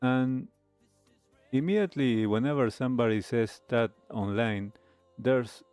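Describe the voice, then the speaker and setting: A man hosting a talk show, giving reflective commentary in halting, deliberate phrases. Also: fairly low pitch, darkish tone, smooth, no echo.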